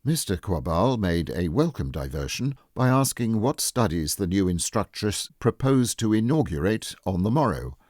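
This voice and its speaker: Low-voiced man reading a story. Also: big pitch swings, normal speed, hardly any pauses, a little mellow, no echo, studio quality. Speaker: audiobook narrator. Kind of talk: scripted literary narration.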